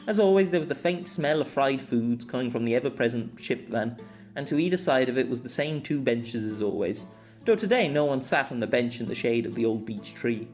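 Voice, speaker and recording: A man reads a scripted story as an amateur audiobook narrator. His pitch moves in a lively way from phrase to phrase, with faint music along with the voice. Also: brisk.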